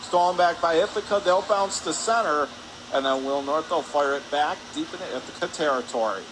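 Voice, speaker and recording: Guy calling hockey on the radio, high voice, short punchy phrases, noisy arena. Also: fairly quick. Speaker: play-by-play commentator. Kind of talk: live radio ice hockey play-by-play. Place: indoor ice hockey arena. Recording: noisy arena ambience behind.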